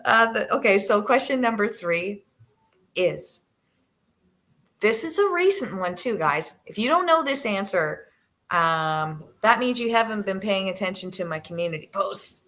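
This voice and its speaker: A woman hosting a casual livestream from her living room, talking gently with a soft voice that trails off and plenty of hesitant restarts. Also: medium pitch, animated, measured pace. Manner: hesitant, many fillers and restarts. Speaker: livestream host with her dogs. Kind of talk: casual live chat to viewers. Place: living room, on the sofa with dogs.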